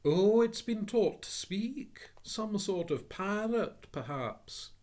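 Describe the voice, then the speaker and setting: A man voicing a flustered, polite elderly office gentleman who talks in a fussy, high, slow and bewildered way. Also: big pitch swings, exaggerated voice.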